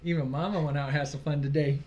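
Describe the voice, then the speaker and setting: A man's voice: fairly high pitch, fairly even intonation, quick pace, darkish tone, highs cut off. A man from Michigan co-hosting a casual live stream, chatty, laughing easily and losing his place now and then. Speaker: livestream co-host.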